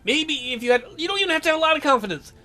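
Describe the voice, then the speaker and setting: A woman's voice: high voice, expressive, very fast, sharp tone, faint music behind. A woman hosting a let's play with casual, joking commentary in a sarcastic, teasing manner. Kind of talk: casual joking game commentary, reading dialogue aloud.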